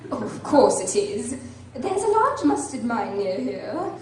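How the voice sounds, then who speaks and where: Chummy, preachy storybook Duchess; high, affected, theatrically gushing radio acting, distant and noisy recording. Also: female voice, very animated, unhurried, darkish tone. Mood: pompous, chuckling. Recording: echoey room, distant mic, clear background noise.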